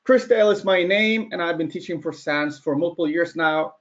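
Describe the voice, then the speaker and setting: A man's voice: a little high, brisk. A man in his thirties from Norway giving a casual webinar talk with a slightly American-sounding accent, chatty, tossing out rhetorical questions and laughing at his own points. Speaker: webcast presenter. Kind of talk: casual live webinar presentation. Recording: dull lo-fi webinar audio.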